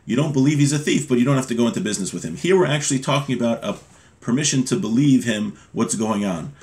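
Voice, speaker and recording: A man's voice: medium pitch, lively intonation, fast, darkish tone. A man in his thirties giving an informal lesson on religious law, reading from a text and explaining it step by step. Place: small home room with bookshelves, indoors.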